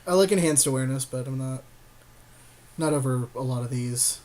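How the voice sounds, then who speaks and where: A man with a medium-pitched voice chats casually as he plays a card game. He comes across as an informal podcast co-host, joking and swearing in passing. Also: fairly quick, a little mellow. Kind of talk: casual chat while drafting a card game.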